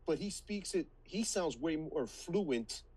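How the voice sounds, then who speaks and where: Husky-voiced man in casual movie chat. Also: a little high, animated, slow pace, darkish tone. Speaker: podcast co-host.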